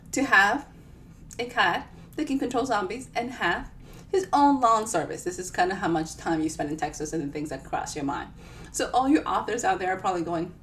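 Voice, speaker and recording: Woman author doing a livestream chat, playful and self-deprecating, kinda high voice, mic sounds far away. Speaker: author hosting a live book reading. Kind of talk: casual livestream chat to viewers. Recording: distant mic with clear background noise.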